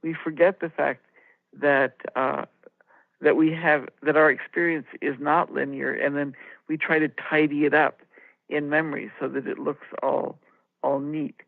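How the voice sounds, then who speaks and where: A woman co-hosting a talk show, sharing reflections in a measured, halting way with pauses mid-phrase. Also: deep voice, little pitch movement, vocal fry, dry recording. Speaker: radio show co-host. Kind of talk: reflective anecdotal talk radio discussion. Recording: tinny, narrow phone-like line.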